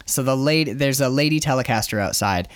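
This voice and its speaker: A man's voice: medium pitch, brisk, dry recording. A man co-hosting a comic book discussion in an emphatic, opinionated and sometimes profane casual style.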